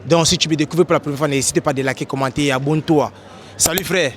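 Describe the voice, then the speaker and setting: Guy interviewing someone on the street, talks fast, kinda high voice, keeps saying n'est-ce pas. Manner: animated, repeats questions with "n'est-ce pas?". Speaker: host, interviewer. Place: outdoors in a city street.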